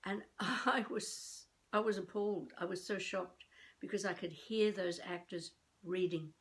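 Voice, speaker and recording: An older woman from Australia giving improvised instruction as a voice coach, speaking deliberately in spaced phrases with theatrical emphasis. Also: medium pitch. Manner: deliberate, with spacious pauses between phrases. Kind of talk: improvised instructional talk to camera.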